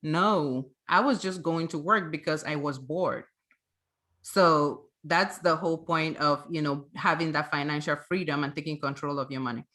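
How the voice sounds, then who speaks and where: A woman's voice: deep voice, moderate pace, a bit bright. A woman speaking as a financial coach and guest, explaining conversationally and emphatically, often checking in with rhetorical questions.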